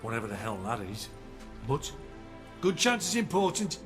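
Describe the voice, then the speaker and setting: An actor playing a pushy, aggressive soldier in a fantasy game, excitable and commanding. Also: male voice, mid-range voice, normal speed, soft background music, lightly acted. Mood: demanding.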